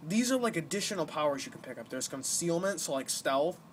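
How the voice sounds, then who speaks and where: A young man giving casual gameplay commentary, explaining things in a halting, rambling way as the host of a gaming video. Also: a little high, big pitch swings. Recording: noisy amateur home recording.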